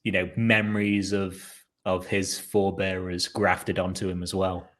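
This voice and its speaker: A young man co-hosting a casual podcast chat, rambling along with fillers and quick jokes. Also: deep voice, level tone. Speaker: podcast co-host. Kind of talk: casual chat reviewing a TV episode.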